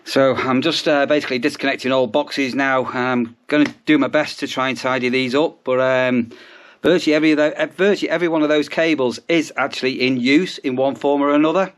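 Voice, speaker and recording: A man's voice: mid-range voice, fairly even intonation, brisk. A man with an English accent talking casually in a rambling way, as if narrating his day for a vlog. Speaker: vlogger. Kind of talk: casual vlog chat to camera.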